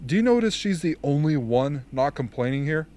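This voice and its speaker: A man playing an authoritative, measured military strategist in an amateur radio drama. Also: a little high, big pitch swings, dark tone. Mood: brisk, official. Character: commanding, dryly formal rebel military strategist briefing soldiers.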